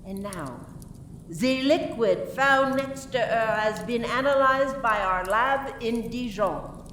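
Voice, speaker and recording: Woman acting a slow, high-voiced French inspector, echoey. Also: lively intonation, fairly bright tone. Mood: methodical. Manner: deliberate, formal questioning, peppered with French phrases. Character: French woman police inspector, formal, methodical, firm. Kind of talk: radio play acting. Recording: echoey room from far off, faint noise.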